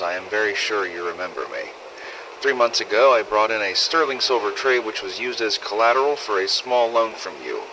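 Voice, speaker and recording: An aggrieved woman, played by a man in a low voice reads a formal letter of complaint in a fan audio drama. The delivery is indignant and proper. Clear noise runs through the recording.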